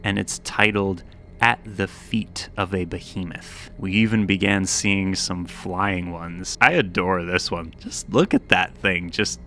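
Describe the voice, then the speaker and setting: A man's voice: deep voice, steady tone, unhurried, pushed and bright. A man giving video essay commentary, musing and dramatic, shifting between scripted narration and casual, wry asides.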